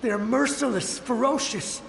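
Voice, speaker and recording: Desperate samurai soldier, echoing in reverb. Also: male voice, extremely high pitch, creaky, fairly bright tone, heavily acted. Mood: panicked warning. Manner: frantic, pleading, halting. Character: desperate, battle-worn young samurai soldier, a lingering memory. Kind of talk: dramatic video game voice acting. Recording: echoey, long reverb, faint background noise.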